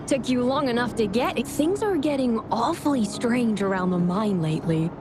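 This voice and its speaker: A woman's voice: fairly high pitch, expressive, normal speed, close to an everyday voice. An actress playing an upbeat, confident young woman who briefs someone on a mystery in a breezy, colloquial way in a video game. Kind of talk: video game story dialogue. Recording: music behind the voice.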